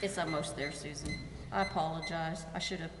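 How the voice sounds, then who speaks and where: A woman's voice: low voice, fairly even intonation, a little fast. An American woman chairing a meeting, speaking informally as she handles procedure. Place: indoor council chamber. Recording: very noisy, echoing council chamber with clicks.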